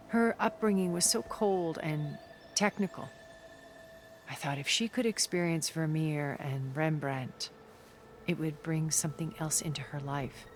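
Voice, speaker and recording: A woman playing a poised, cultured, secretive older tech magnate in a video game cutscene, speaking in a measured, eloquent way. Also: sits low, slight background noise. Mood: earnest, proud. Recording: music behind the voice.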